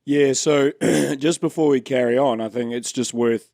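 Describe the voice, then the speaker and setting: A man's voice: medium pitch, a little slow, gravelly, a little mellow, no echo, clean studio sound. A man co-hosting a podcast in an easygoing way, steering the discussion along. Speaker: podcast co-host. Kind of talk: casual chat.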